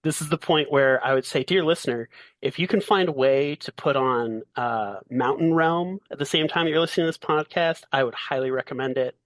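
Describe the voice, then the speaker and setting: Man, game master, improvised tabletop narration, halting and rephrasing, mid-pitched, dry sound. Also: a bit bright, dry recording. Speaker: game master and podcast host.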